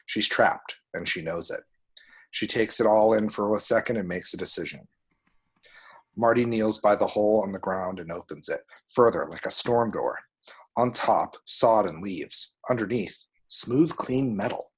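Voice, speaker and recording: Male narrator, husky, fairly deep, scripted action descriptions, dramatic delivery. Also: measured pace. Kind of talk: scripted screenplay narration with spoken sound effects.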